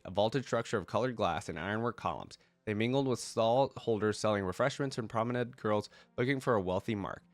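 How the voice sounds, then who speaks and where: A man with a fairly low voice, stuffed up from a cold, reads lore aloud and comments on it informally. He thinks out loud and talks straight to his viewers. The recording is dry, with hardly any room sound. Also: no room sound. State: congested with a cold. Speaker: reactor reading game lore aloud and commenting. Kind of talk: casual reaction commentary mixed with story reading.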